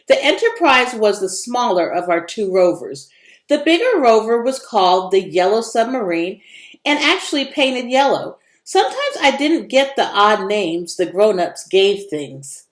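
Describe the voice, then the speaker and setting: Woman, children's read-aloud, expressive narration, lively swooping pitch, echoey room. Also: unhurried. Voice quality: animated, varied intonation. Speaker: educator reading a children's book aloud.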